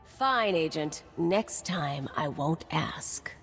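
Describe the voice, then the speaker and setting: A woman's voice: low-pitched, expressive, takes it slowly, fairly bright tone, husky, heavily acted. A woman playing a brash, scheming, vindictive mercenary in a video game, with a cocky, sardonic, slangy delivery. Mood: furious. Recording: long reverb, music behind.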